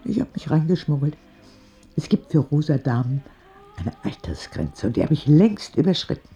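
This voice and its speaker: A woman's voice: sits low, very animated, normal speed, warm tone, breathy edge, highs cut off, full character voice. A woman playing a feisty, foul-mouthed but kindly very old hospital visitor in a radio drama, blunt and teasing. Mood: teasing, conspiratorial.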